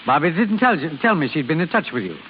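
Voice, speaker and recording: Older father, gentle, wry, wistful, slightly raised pitch, old tinny radio play. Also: very animated, fast talker, slight background noise. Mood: wry, probing. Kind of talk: 1930s-40s radio drama acting.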